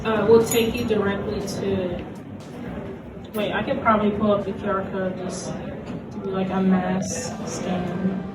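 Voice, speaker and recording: A woman's voice: medium pitch, level tone, unhurried, very noisy. A woman talking informally and chattily as a host on a casual convention panel. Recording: roomy, distant mic, music behind.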